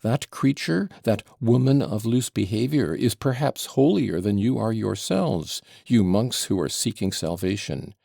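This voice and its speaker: A man voicing a blustering old father who yells and splutters theatrically. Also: low voice, expressive, moderate pace, hardly any pauses, no echo, studio quality. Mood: ranting.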